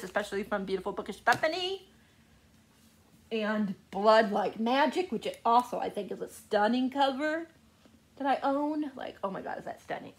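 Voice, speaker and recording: A woman with a fairly high voice talks in a casual, chatty vlog style. She gushes as she goes, doubling up words for emphasis and speaking straight to her viewers. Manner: gushing, repeats words for emphasis. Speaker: book vlogger.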